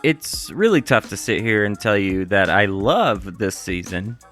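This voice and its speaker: A man's voice: fairly low pitch, lively intonation, a little slow, fairly bright tone, faint music behind. A man narrating a scripted review as the reviewer.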